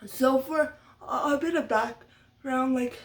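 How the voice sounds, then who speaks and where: Slow-talking young woman who stutters. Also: sits high. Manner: frequent stutter with repeated sounds. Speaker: vlogger. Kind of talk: sit-down story time talk. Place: home room with her dog nearby. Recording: voice a bit far from the mic.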